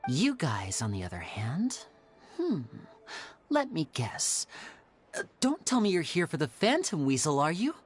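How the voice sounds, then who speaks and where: A woman playing a suave, theatrical young male stage magician in an anime game, smooth and showmanlike. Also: deep voice, wildly swooping pitch, measured pace, full character voice. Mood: playful, confident. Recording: music playing behind.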